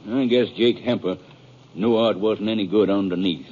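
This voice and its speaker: An actor playing a blunt, skeptical frontier sheriff with an American Western accent in a 1950s radio Western. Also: male voice, lowish voice, normal speed, darkish tone, dull audio, lightly acted. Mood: firm, dismissive. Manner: blunt, folksy, authoritative. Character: gruff, skeptical, self-assured frontier sheriff. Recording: thin, band-limited vintage radio recording.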